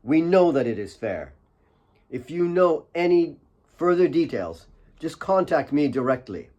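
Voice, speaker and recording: A man's voice: fairly high pitch, sing-song, a little slow, a little mellow, dull audio, voice pushed up. A man voicing a commanding, earnest young leader of mine workers, firm and direct, in an audiobook. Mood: stern, firm.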